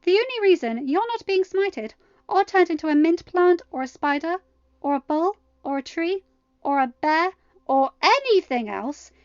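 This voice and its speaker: A woman's voice: very high voice, no room sound, dull audio, voice pushed up. A woman voicing an imperious, protective goddess mother in a storybook, speaking with emphatic, threatening formality.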